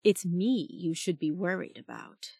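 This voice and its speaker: A woman's voice: fairly low pitch, animated, unhurried, gravelly, mellow, husky, dry recording, studio quality, heavily acted. A woman voicing a cool, fiercely loyal male fire spirit in an audiobook, taunting and menacing. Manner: snarling, taunting.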